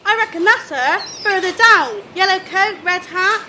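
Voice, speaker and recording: A woman's voice: extremely high pitch, expressive, normal speed, pushed and bright, a little hiss or hum, full character voice. A woman acting in a radio play comedy as a chatty, aggrieved young shop assistant, colloquial, gossipy and blunt. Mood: urgent, guilty.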